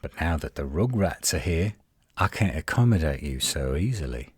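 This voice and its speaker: A man playing a defensive, flirtatious tsundere werewolf in a roleplay audio drama, indignant and sarcastic with a husky voice. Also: extremely low pitch, lively intonation, moderate pace, no echo, studio quality, heavily acted. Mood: smug, flirtatious.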